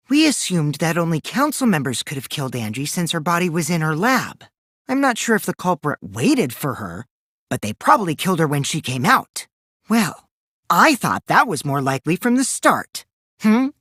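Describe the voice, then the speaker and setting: A taunting, mischievous teenage boy from an anime video game. His pitch leaps around as he swings theatrically from gleeful mockery to cold remarks. The recording is dry, with no room sound. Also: fairly bright tone, dry recording, heavily acted.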